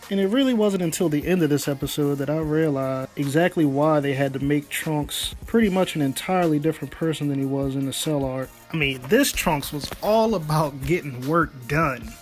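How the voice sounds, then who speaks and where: A man with a fairly high voice delivers an excited, casual anime review as an online video host. Music plays behind him. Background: background music.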